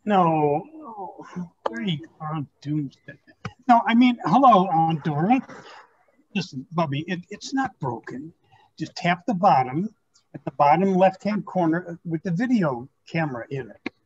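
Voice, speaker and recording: A young man playing an earnest, self-absorbed grandson of twenty-three in a comic staged reading, eager, halting and placating. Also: on the high side, animated, a little mellow, highs cut off, a little hiss or hum. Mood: exasperated.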